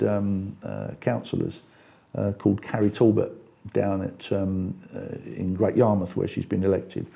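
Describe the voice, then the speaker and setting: Gravelly, mellow-voiced older man. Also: medium pitch, highs cut off. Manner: talkative, many restarts and fillers. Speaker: interviewee, party member. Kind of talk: casual conversational interview.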